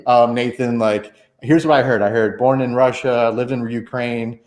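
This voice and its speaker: A man's voice: mid-range voice, fairly even intonation, normal speed, darkish tone. A man in his forties hosting a casual church livestream interview, chatty and rambling with many fillers and restarts.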